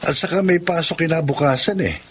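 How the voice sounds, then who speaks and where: A man playing a firm but kind, God-fearing father with an earnest, measured manner in a Tagalog radio drama. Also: fairly high pitch, a bit bright, a little hiss or hum, lightly acted.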